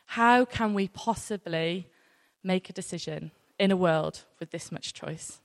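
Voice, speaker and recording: A woman's voice: on the low side, measured pace. A woman in her thirties with a British accent gives an informal sermon to a congregation in a conversational, anecdotal way, using rhetorical questions. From near North Wales, UK. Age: 30s. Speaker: church speaker. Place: large room, live talk to an audience. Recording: echoey hall with long reverb.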